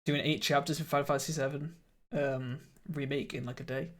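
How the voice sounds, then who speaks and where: A man streaming a game live, chatting casually with viewers and laughing often, his voice a little croaky. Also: on the high side, steady tone, fairly quick. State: slightly croaky voice. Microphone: studio microphone.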